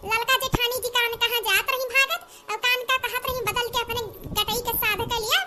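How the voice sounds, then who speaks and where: A woman voicing a scheming, gossiping young village daughter-in-law in a cartoon comedy, with an Awadhi accent and an artificially squeaky, nagging delivery. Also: fairly even intonation, fairly quick. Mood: tattling. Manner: exclamatory, nagging, conspiratorial. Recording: noticeable background noise.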